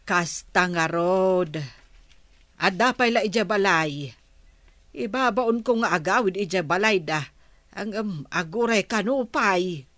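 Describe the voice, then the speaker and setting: A woman acting an older Filipino mother in a radio drama, patient, concerned and gently scolding, with a Filipino accent. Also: medium pitch, slow, dry recording. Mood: worried.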